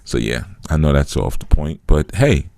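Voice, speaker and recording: Blunt deep-voiced man hosting. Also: sing-song, moderate pace, vocal fry, dark tone, dry recording. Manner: blunt, frank, advising. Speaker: spiritual call-in show host. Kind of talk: casual call-in radio talk.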